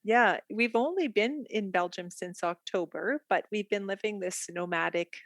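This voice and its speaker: A woman's voice: medium pitch, moderate pace, no room sound, studio quality. A middle-aged Canadian woman with a Canadian accent, talking openly and reflectively as a guest in a podcast interview. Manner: chatty, laughs easily, reflective. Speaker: guest art therapist.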